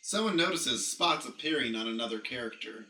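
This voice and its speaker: A man's voice: mid-range voice, expressive, brisk, bright, forceful tone. Distant-sounding man reading stage directions. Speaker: stage manager narrating. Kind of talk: improvised radio play stage directions. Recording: distant, echoey, noisy recording with clicks.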